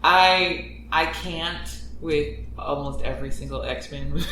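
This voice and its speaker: Woman, low voice, blunt and wry, opinionated, echoey room, faint background noise. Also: a little slow, pushed and bright. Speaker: podcast voice actor. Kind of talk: casual chat.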